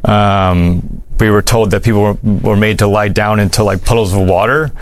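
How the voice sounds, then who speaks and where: A man giving an unscripted account as an interview guest, halting and repeating words as he searches for phrasing. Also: lowish voice, fairly even intonation, no room sound. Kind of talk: unscripted recounting in news interview.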